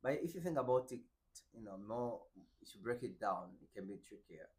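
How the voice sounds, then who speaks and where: A man's voice: on the low side, fairly quick, slightly rough. A young man from Burundi whose first language is French, explaining venture capital in conversation and acting out dialogue while checking in with "right?". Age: young adult. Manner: animated, acts out dialogue with many "right?" checks.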